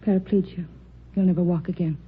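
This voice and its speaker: Tinny, hissy vintage radio recording of an actress playing a hospital nurse. She is a brisk woman with a lowish voice, speaking fast in a clinical, matter-of-fact way. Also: steady tone, close to an everyday voice. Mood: grave, factual. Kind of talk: 1950s radio drama acting. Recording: thin, noisy old radio recording.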